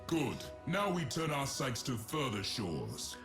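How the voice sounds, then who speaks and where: An actor playing a proud, ancient emperor in a fantasy game, speaking in grand, formal, commanding declamation. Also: male voice, mid-range voice, huge pitch swings, slow, fairly bright tone, full character voice. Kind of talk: theatrical fantasy game voice acting. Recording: music behind the voice.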